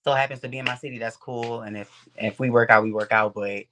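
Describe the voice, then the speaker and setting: A man's voice: medium pitch, fairly even intonation, fast talker, pushed and bright, a little hiss or hum. A man in his twenties from New Orleans, a guest on a dating show, talking in a laid-back, slangy way that often trails off. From New Orleans, USA. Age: 20s. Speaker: guest contestant. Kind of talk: casual chat.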